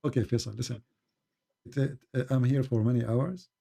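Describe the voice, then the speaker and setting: A man's voice: mid-range voice, steady tone, brisk, vocal fry, dark tone, husky. A man whose first language is Arabic, hosting a live stream and debating a caller in English, impatient and mocking, cutting in often.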